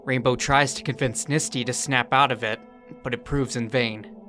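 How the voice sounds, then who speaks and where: A man with a bright, forward voice narrates a scripted recap of a story. His pitch sits in an ordinary male range, and music plays clearly underneath him. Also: fairly even intonation, moderate pace.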